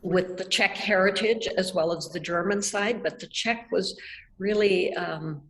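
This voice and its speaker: An older woman from Nebraska, answering questions in a reflective, measured way over a video call. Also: fairly low pitch, low-quality recording. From Nebraska, United States. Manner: reflective, measured, with soft laughs. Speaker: artist guest. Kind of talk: thoughtful conversational answers. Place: art gallery, indoors. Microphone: video call webcam.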